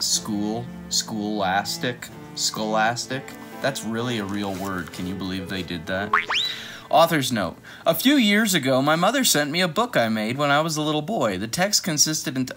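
A man's voice: mid-range voice, fairly bright tone. An American man reading a picture book aloud to kids in a casual, playful way with joking asides.

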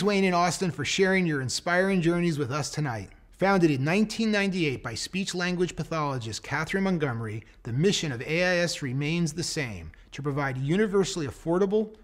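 A man hosting the event, reading scripted narration in a smooth presenter manner. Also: on the high side, a bit bright. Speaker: event host.

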